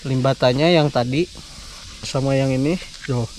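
A young man with a Sundanese accent, chatting casually to viewers while fishing outdoors, slangy and quick to laugh. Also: medium pitch, steady tone, darkish tone, a little hiss or hum. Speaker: fishing vlogger. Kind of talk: casual vlog narration. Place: outdoors by a pond in rural greenery.

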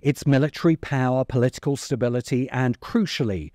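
A middle-aged man narrating a scripted video essay in a deliberate, emphatic way, close to the microphone. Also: mid-range voice, a little mellow, dry recording. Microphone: close desk microphone.

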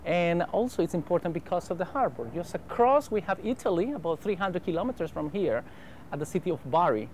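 A man's voice: sits high, animated, darkish tone. Salvadoran man in his 30s, Spanish-accented English, earnest pastor being interviewed, lapel mic outdoors, faint noise. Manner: earnest, careful English with filler pauses. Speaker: pastor and mission president. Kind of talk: interview answers. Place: outdoor rooftop terrace over a harbor.

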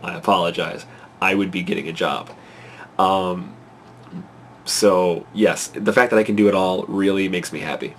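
A congested young man in his twenties talks straight into a close webcam from his bedroom at night, fretful and excitable, rambling with lots of fillers. His voice sits fairly low, with a faint hiss of room noise behind him. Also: moderate pace. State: congested from a cold. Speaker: vlogger. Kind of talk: casual to-camera vlog. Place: small bedroom at night, indoors. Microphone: webcam at close range.

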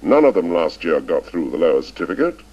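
A man's voice: low voice, sing-song, fast, darkish tone. A man voicing a cold, stern middle-aged headmaster who speaks in a clipped, formal way. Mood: lecturing. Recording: dull lo-fi recording.

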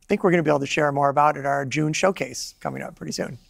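Gravelly man, eager and halting. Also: a little high, a bit bright, slight background noise. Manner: enthusiastic, with halting restarts. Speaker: gaming company executive. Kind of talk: podcast interview answers. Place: studio set.

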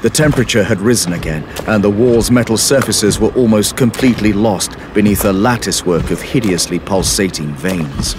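A man's voice: lowish voice, normal speed, few pauses, noisy background. A man giving dramatic scripted narration as the storyteller of an audio drama. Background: music.

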